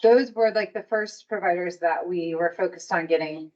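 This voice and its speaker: A woman's voice: on the low side, normal speed, a little mellow. Upbeat woman presenting from a distance. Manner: upbeat and encouraging, laughs easily. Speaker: early learning coalition staff presenter. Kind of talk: presenting at a provider meeting. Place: meeting room. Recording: dull sound, distant from the mic.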